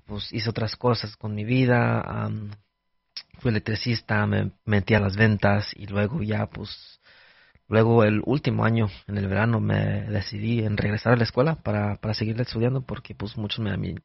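Low gravelly young man, hesitant. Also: no echo, lo-fi sound. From United States. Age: young adult. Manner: hesitant, many restarts and fillers. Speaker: guest, board operator. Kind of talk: casual radio conversation.

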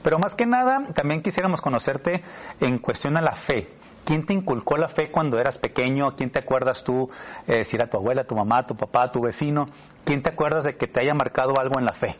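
A man's voice: medium pitch, a little fast, highs cut off. A man hosting a conversational interview program in an easy question-and-comment style. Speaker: talk show host.

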